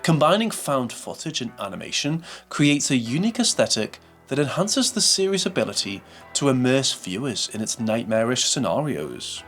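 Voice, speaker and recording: A man narrates a scripted video essay in a measured, formal manner. His mid-pitched voice moves up and down in a lively way, over faint music.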